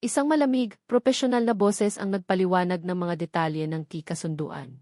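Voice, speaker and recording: A woman with a Filipino accent narrating a dramatic scripted story in a measured storytelling manner. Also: lowish voice, a little mellow, no room sound, studio quality. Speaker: audiobook narrator.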